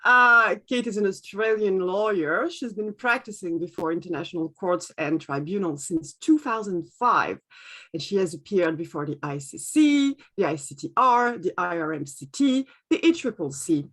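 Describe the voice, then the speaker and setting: A woman from France with a French accent, moderating an online panel and introducing the speakers politely and a little haltingly. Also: medium pitch, animated, fairly bright tone. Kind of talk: formal introductions. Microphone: video call.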